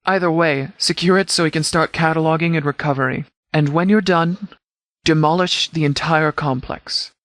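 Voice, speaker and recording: A man playing a composed, pragmatic military commander in a video game, speaking in a formal, measured, tactical way. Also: a little high, fairly even intonation, a bit bright, dry recording, close to an everyday voice. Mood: composed, authoritative. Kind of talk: video game dialogue acting.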